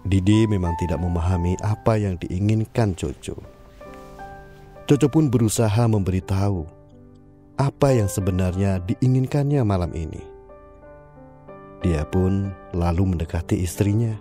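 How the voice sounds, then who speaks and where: A man narrating an audio drama in a measured storytelling manner. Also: low-pitched, dark tone. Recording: music underneath.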